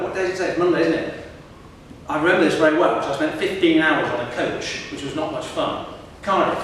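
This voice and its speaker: Guy lecturing students, kinda high voice, sounds far off in a big echoey hall, faint background hum. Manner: lively, engages the audience with questions. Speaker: professor giving a lecture to students. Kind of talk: public lecture.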